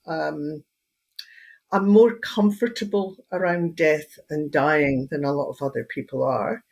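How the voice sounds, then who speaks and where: An older woman from Edinburgh, Scotland, whose Scottish accent has taken on an Australian edge, speaking calmly and with pauses as an interview guest. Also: low voice. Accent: Scottish, Edinburgh, mixed with Australian. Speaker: guest, hypnotherapist and end-of-life doula. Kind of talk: casual interview conversation.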